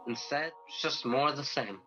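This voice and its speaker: A man's voice: medium pitch, normal speed, sharp tone, lightly acted. A man playing a restless, doubting angel in a radio play, questioning and discontented. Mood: frustrated, doubting. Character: restless young male angel, doubting and eager for action. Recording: muffled lo-fi video call recording with music.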